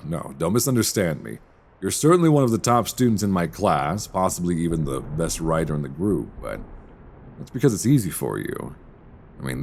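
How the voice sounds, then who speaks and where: Roleplay audio: male actor as teasing, charming professor, heavy gravelly fry, hesitant delivery, faint background noise. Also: full character voice. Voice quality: deep, gravelly. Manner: soft teasing, hesitant apologetic stammers. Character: charming, teasing male English professor, mild-mannered but flirtatious. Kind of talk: intimate roleplay audio drama. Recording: binaural recording with faint background ambience.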